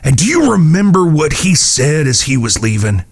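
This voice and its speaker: A man playing a vengeful, coldly taunting gunslinger in a Western audio drama, with a drawl, husky and profane. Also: a little high, sing-song, normal speed.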